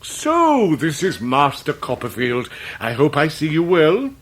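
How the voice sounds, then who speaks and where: An actor playing a grandiloquent, middle-aged British gentleman, long-winded and florid, in a radio drama. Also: fairly high pitch, huge pitch swings, unhurried, full character voice. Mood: pompous, courteous. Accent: British English. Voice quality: theatrical, orotund delivery.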